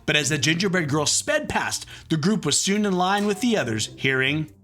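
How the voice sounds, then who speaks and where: Animated man reading a storybook aloud. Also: on the high side, normal speed, faint music behind. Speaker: comedian reading children's books.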